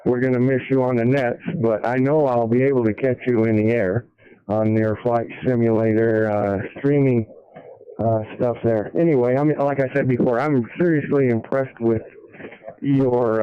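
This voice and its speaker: A man's voice: mid-range voice, clean tone, dry recording. A middle-aged or older American man chatting casually and easygoing over a ham radio transmission. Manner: easygoing, folksy. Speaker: amateur radio operator checking in. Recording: narrow-band radio hotspot transmission.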